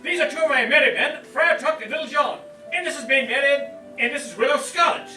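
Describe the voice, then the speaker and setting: A bold outlaw leader, played by a man, gives rousing orders in a very high voice. The live amateur radio play is heard from far off in a roomy hall, with music clearly playing behind. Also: fairly quick. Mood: commanding.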